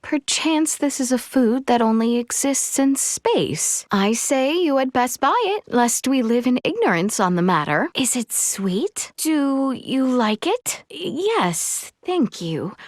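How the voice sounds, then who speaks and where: A woman's voice: high-pitched, sing-song, takes it slowly, few pauses, no echo, clean studio sound, full character voice. A woman voicing a spirited young princess in a video game, speaking earnestly and expressively in formal, old-fashioned courtly language. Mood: curious, gentle. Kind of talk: video game character dialogue.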